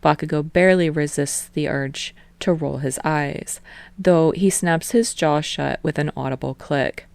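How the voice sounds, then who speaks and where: A woman narrates fan fiction at a steady storytelling pace, her voice sitting low with a gravelly fry. The recording is dry and close, with no room around it. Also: unhurried, no echo. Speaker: podfic reader.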